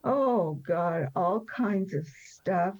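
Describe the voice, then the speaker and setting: A woman's voice: lowish voice, lively intonation, very slow. A woman in her seventies telling personal stories as an interview guest, conversationally, in a candid, wry and emphatic way. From born in France. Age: 70s. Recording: dull, lo-fi sound.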